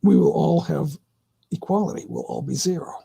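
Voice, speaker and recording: A middle-aged man hosting his own livestream, talking casually and answering chat in a rambling, opinionated way with many halting restarts. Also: fairly high pitch, creaky, warm tone, breathy edge. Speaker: livestream host.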